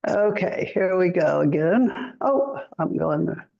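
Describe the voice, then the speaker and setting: A woman teaching a citizenship class, speaking slowly and clearly and drilling words for students to repeat. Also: on the low side, lively intonation. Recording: roomy, muffled classroom audio.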